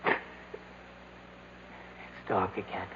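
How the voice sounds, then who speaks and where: An actor playing a devoted young man in love, trapped in the dark, in an intimate radio drama. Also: very slow, in a large hall. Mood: tender, hushed. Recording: muffled, noisy vintage radio.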